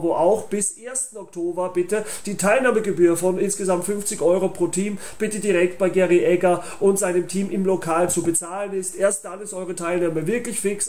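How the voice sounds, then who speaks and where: A man's voice: high-pitched, a little mellow. A young man, hosting an online channel and making an informal promotional announcement to viewers. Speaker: YouTube channel host and tournament organiser.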